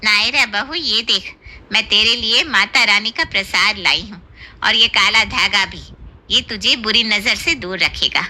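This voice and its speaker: A woman's voice: medium pitch, a little slow, pushed and bright, pitched below the performer's own voice, rough recording. A woman voicing an affectionate elderly Indian aunt in a Hindi cartoon family story, bossy, fussing and tender. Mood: doting.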